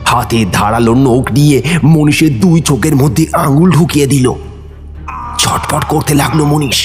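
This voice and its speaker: A man narrating a horror audio story in a measured, suspenseful manner. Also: fairly high pitch. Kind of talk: dramatic scripted narration. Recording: reverberant studio with clear music. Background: music.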